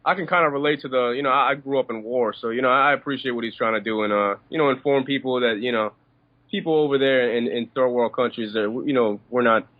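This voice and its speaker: A man's voice: mid-range voice, fast talker, no echo. A man in his twenties from Iran calls in to the show and chats in an easygoing way, with many you-knows. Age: 20s. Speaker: caller. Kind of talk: casual call-in chat. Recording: muffled, dry phone call.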